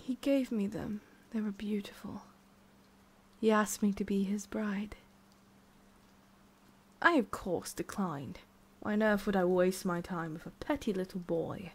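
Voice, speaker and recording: Woman playing a haughty, prickly forest witch, soft-spoken. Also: medium pitch, slightly rough. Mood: wistful, halting. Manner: condescending, halting, soft-spoken with whispery breaths. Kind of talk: ASMR roleplay.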